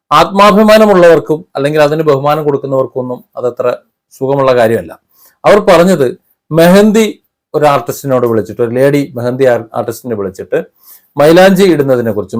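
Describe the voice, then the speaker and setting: A man giving opinion commentary in a halting, emphatic, critical manner. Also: fairly high pitch, darkish tone. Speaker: commentary vlogger.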